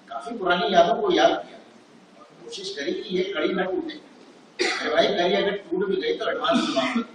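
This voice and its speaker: A man's voice: on the high side, fairly bright tone, slight background noise. Older man reading letters in a hall. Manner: wistful, then playful. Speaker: stage actor reading letters. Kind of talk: amateur stage play, letter reading. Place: large auditorium, no sound system. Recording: echoey auditorium from far off.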